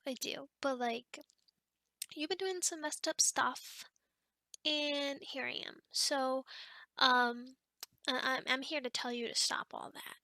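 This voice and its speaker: An awkward young female sleep demon, acted by a woman, with a high, bright voice in a very dry, close recording. She rambles apologetically in a hesitant, chatty way, slipping toward whispers as in an ASMR roleplay. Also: fairly even intonation, slow, no echo, heavily acted. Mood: sheepish, chatty, then awkward, flustered.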